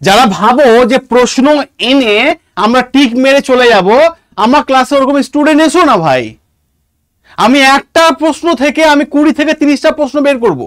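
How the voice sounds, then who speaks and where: A man's voice: extremely high pitch, lively intonation, fairly quick, a bit bright, no room sound, clean studio sound. A man with a Bengali accent teaching an online exam-prep class, talking informally to students and repeating points emphatically as if dictating.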